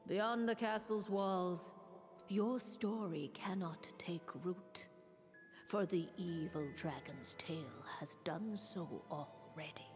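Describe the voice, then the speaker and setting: A slow, solemn prophetess with a long reverb on her voice. She speaks like a mystical storybook teller in a game, over music. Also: on the low side, darkish tone. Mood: solemn, measured. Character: solemn, mystical female prophetess telling an ancient secret. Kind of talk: video game storybook narration.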